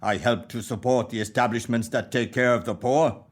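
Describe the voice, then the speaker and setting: A cold, penny-pinching old man, voiced by an Irish-accented actor, snaps out curt, dismissive replies. His voice sits at an ordinary middle pitch but carries a gruff edge throughout this radio play. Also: steady tone, moderate pace, close to an everyday voice. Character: miserly, grumpy old male moneylender, cold and curt.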